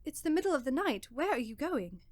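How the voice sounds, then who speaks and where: Radio play casting: devoted, anxious young wife female actor, quite high voice, pleading, dry recording. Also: big pitch swings, a little fast, darkish tone, no echo. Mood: pleading, tender. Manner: pleading, concerned. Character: anxious, loving young wife of a troubled man. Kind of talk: radio drama acting.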